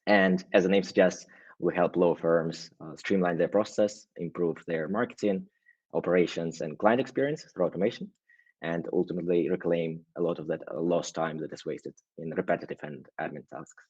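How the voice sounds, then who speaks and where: A man from Russia, a guest on a show, explaining things in a relaxed way and often checking in with "right?". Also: sits low, moderate pace, husky, no echo, muffled recording. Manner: hedging, frequent "right?" tags and restarts. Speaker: guest, automation agency founder. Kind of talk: casual explanatory interview talk.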